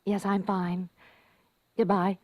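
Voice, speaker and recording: A woman playing an anxious, gentle mother who hesitates as she hides her unease, in an old-time radio drama. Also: medium pitch, level tone, takes it slowly, no echo. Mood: nervous, reassuring. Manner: hesitant, searching, trailing off.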